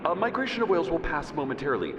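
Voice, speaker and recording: An actor playing an earnest, hesitant professor lecturing over a remote radio broadcast with a stammering delivery. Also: male voice, a little high, big pitch swings. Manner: halting, stammering. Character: earnest, hesitant male professor giving a scientific demonstration. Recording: distant, noisy, reverberant remote broadcast.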